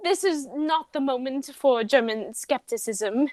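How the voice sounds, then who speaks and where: A woman's voice: high-pitched, unhurried, a little mellow, dry recording. A woman acting a haughty, dignified young society lady in a radio play comedy, speaking in a formal, declarative way. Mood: grand, decisive.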